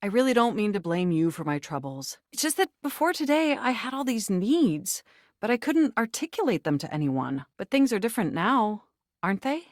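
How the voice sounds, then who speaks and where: A woman's voice: mid-range voice, big pitch swings, normal speed, dry recording, studio quality, natural voice. Woman voicing a swoopy-voiced, prim, lovestruck computer. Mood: earnest, pleading. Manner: formal, wordy, precise, nerdy diction. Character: earnest, lovestruck, anxious talking desktop computer. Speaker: video game character voice actor. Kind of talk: scripted dating game dialogue.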